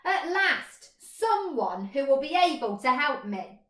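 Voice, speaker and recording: A woman voicing an indignant, short-sighted little male mole from a children's storybook, asking in a loud, accusing way in a roomy space. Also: sits high, sing-song, takes it slowly, exaggerated voice. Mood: hopeful, demanding.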